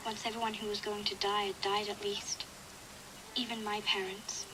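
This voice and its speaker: An actress playing a wistful young girl survivor, narrating a reflective, measured audio diary for a video game. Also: medium pitch, flat delivery, background noise, lightly acted. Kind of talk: scripted first-person audio diary narration.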